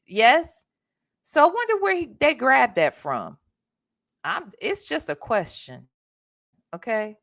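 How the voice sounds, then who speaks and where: Female American reaction host, high lively voice, blunt and sassy, talking casually to a live chat. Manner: emphatic, full of rhetorical questions.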